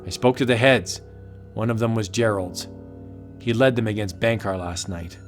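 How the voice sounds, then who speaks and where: A man's voice: on the low side, moderate pace, soft background music, natural voice. A solemn, obsessed anthropologist in a horror comic audio drama, performed by a man. He speaks earnestly and dramatically, with the grave conviction of someone who believes in magic.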